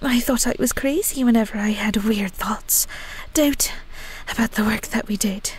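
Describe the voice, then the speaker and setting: A woman playing a bitter, defiant young female werewolf in an audio roleplay, breathy, hushed and biting. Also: fairly high pitch, moderate pace, slight background noise, natural voice. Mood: reflective, bitter. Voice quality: breathy, whispery. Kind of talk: dramatic audio roleplay acting.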